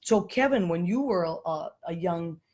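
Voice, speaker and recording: A woman's voice: fairly low pitch, animated, a little slow, darkish tone. A woman hosting a live online talk show, chatting with guests and greeting viewers as they join. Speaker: host. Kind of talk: casual live interview chat.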